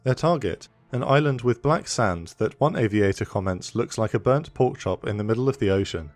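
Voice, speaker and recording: A man's voice: lowish voice, lively intonation, normal speed, a little mellow, no echo, soft background music. A man reading scripted narration for a history documentary.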